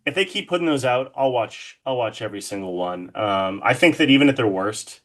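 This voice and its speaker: A man's voice: medium pitch, expressive, fairly quick, a bit bright. A man chatting casually as a podcast guest, enthusiastic and loose with swearing. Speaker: podcast guest, horror artist. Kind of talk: casual interview chat about horror films.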